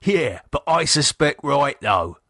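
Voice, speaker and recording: A man voicing an anxious working-class husband, speaking in nervous, halting, pleading bursts. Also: on the high side, sing-song, fairly bright tone, dry recording, clean studio sound, voice pushed up, heavily acted. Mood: worried.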